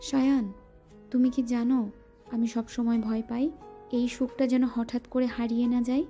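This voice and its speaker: A woman's voice: fairly high pitch, little pitch movement, normal speed, dark tone, soft background music, natural voice. A woman voicing a playful young woman in love, teasing and coy, in a romantic audio drama. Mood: tender. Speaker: voice actor.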